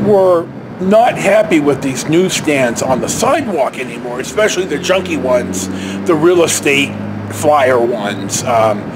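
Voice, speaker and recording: High-pitched American man telling a rambling anecdote. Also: background noise. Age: middle-aged. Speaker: storyteller, vlogger. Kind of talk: casual anecdote to camera. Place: outdoors beside a stucco house wall. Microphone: handheld camera or phone at arm's length.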